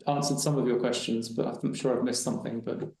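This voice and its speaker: A man's voice: mid-range voice, steady tone, a little fast, creaky, warm tone. A man on a webinar panel telling a personal story in a casual, rambling way with frequent restarts. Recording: very echoey room.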